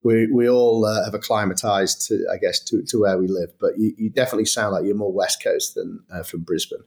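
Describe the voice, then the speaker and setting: A man's voice: on the low side, steady tone, brisk, a little mellow. A man from Manchester hosting a podcast interview, asking long rambling questions. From Manchester, England. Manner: conversational, hesitant questions with many fillers. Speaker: podcast host.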